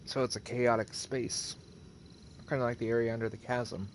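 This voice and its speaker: A man's voice: medium pitch, little pitch movement, normal speed, slight background noise. A man giving casual, understated live game commentary, musing aloud as he plays. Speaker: streamer. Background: game music.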